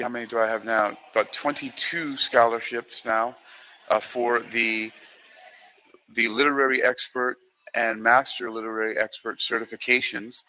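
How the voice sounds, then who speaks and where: A man with a bright, forward voice talks as a host explaining a program. His delivery is informal and halting, wandering through repeated phrases as he works out each point. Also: medium pitch, low-quality recording. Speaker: host presenting a literary certification program.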